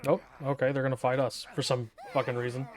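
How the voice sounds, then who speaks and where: A man's voice: medium pitch, little pitch movement, a little fast, a little mellow. A man giving casual, sarcastic, irreverent gameplay commentary with plenty of swearing. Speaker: game streamer.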